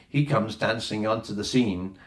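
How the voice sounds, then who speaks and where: A man's voice: on the low side, steady tone, moderate pace, lightly acted. A man reading a storybook, voicing a confident young boy captain with a decisive, instructive way of talking, in a roomy space. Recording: roomy echo on the voice.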